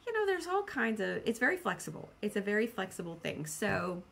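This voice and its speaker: A woman's voice: medium pitch, very animated, a little fast, gravelly, fairly bright tone, husky. A woman talking casually to her viewers as a vlog host, rambling and thinking aloud as she goes. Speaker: host of a book channel.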